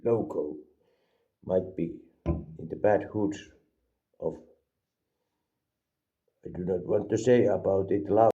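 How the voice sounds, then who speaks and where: A man from Finland with a Finnish accent, rambling deadpan through an absurd comedic product review and mixing languages. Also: sits low, takes it slowly, long pauses, muffled recording. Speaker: vlogger reviewing an energy drink.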